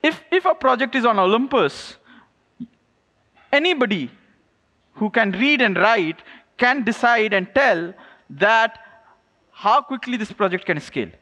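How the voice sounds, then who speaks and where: A man with a very high, sharp, bright voice delivers an informal keynote from a stage. He is energetic and stop-start, repeating words as he goes. The big hall adds long reverb around his voice. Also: measured pace, pauses often. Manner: repetitive, stop-start, checks in with "right?". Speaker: conference keynote speaker, programs lead. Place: stage in a large glass-walled event hall.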